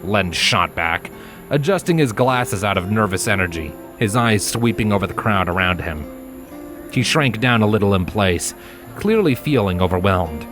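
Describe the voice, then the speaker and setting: A man reading scripted fiction as narrator, in a measured and expressive storytelling manner, with music behind him. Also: fairly low pitch.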